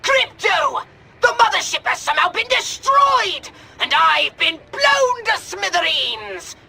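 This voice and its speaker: A man playing a pompous, high-strung alien mastermind in a video game cutscene, theatrical and wordy. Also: very animated, slow, vocal fry, sharp tone, shouting, full character voice. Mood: alarmed, indignant.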